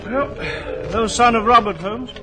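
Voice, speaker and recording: A loyal, easily flustered companion to a detective, pitched high. He is eager and theatrical in 1940s radio style, with music clearly behind him. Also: measured pace. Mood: nervous.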